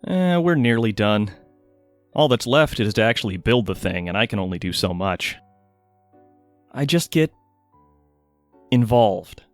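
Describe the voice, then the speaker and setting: A man's voice: on the low side, animated, measured pace, pauses often, no room sound, soft background music. An actor playing a guarded, wry male mage inventor in an audio drama, speaking hesitantly and intimately. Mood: wry, reserved.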